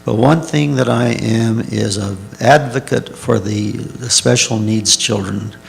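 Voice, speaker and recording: A man's voice: lowish voice, unhurried, creaky, big-room sound. A man school board candidate answering at a public forum, earnest and hesitant.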